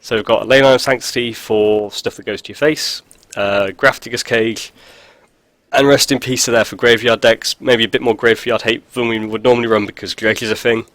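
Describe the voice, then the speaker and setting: A man with a head cold, his voice stuffy and sniffly, rambles through a casual card-game walkthrough. He talks at an everyday pitch, peppering his talk with ums and dry asides. The recording is dry and close, with little room sound. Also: steady tone, normal speed, fairly bright tone, no echo. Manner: rambling, filler-heavy, dry jokes. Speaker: video host. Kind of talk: casual gameplay commentary.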